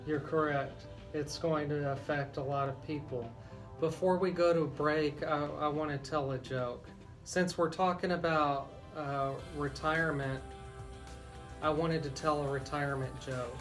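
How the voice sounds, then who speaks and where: A man's voice: on the high side, steady tone, measured pace, over music. An American man hosting live talk radio as an attorney, explaining things and addressing listeners directly. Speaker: radio host and estate planning attorney.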